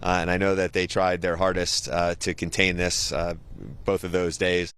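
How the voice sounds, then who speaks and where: A man giving a halting spoken statement as a public official. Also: low-pitched, level tone, a bit bright, slight background noise. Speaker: Forest Service official.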